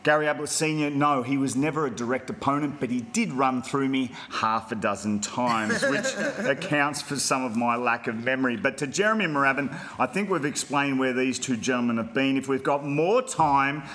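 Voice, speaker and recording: A man's voice: mid-range voice, moderate pace, few pauses, a bit bright, slight background noise. An Australian man hosting a bantering sports podcast in a teasing, mock-formal manner. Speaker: podcast host.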